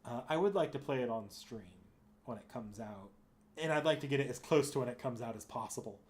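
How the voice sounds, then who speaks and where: Rambling male streamer thinking out loud. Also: mid-range voice, animated, fairly quick. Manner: rambling, hesitant, often self-correcting. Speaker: live game streamer. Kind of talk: casual unscripted stream chat, thinking aloud.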